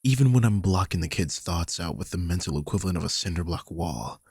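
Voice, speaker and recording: A man's voice: low voice, expressive, fairly quick, darkish tone, slight background noise. A man playing a worried, guilty vampire king who is protective of his charge, confiding wearily in an audio roleplay. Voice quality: husky, breathy. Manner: confiding, weary, sighing, swears. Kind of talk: dramatic audio roleplay, one side of a conversation.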